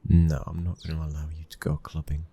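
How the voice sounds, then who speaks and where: A man with a British accent playing a possessive young mafia boss's son, speaking in a low, intimate, commanding murmur for a boyfriend ASMR roleplay. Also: monotone, fairly quick, dark tone, breathy edge, exaggerated voice. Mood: firm, protective.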